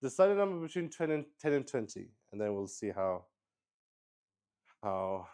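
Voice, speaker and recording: A man's voice: on the low side, sing-song, normal speed, darkish tone, clear, resonant tone. A man hosting a casual livestream, thinking aloud and rambling in a hesitant way. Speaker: streamer hosting a solo tabletop roleplay stream. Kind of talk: casual improvised livestream chat.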